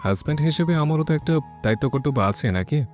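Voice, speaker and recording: A man's voice: fairly high pitch, lively intonation, quick pace, darkish tone, soft background music, close to an everyday voice. A man playing a bossy, teasing young husband in an audio love story, speaking in a curt, commanding manner.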